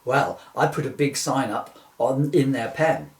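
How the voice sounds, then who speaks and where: A man's voice: mid-range voice, animated, measured pace, natural voice. A man playing a dim, literal-minded rodeo showman in a comic reading, matter-of-fact and pedantic about absurd things. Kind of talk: comic two-hander read from a book. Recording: distant, echoey room recording.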